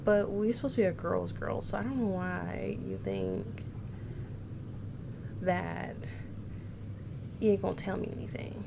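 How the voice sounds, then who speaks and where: A woman's voice: on the low side, lively intonation, unhurried, creaky, long pauses, noisy background. A woman in her thirties reading a visual novel aloud and adding casual commentary as a game streamer. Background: game music and Japanese game voices.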